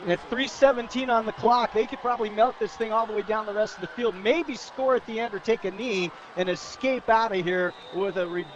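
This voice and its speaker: A man's voice: high voice, a little fast, few pauses, lo-fi sound, a little hiss or hum. A man with an American accent giving live football color commentary in a conversational, folksy way. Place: outdoor football stadium broadcast.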